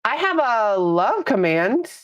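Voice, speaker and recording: A woman's voice: fairly high pitch, sing-song, takes it slowly, dull audio. Young woman streamer with a stuffy, slightly nasal voice. Chatty and rambling, laughs easily, casual live chat. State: congested, a little nasal.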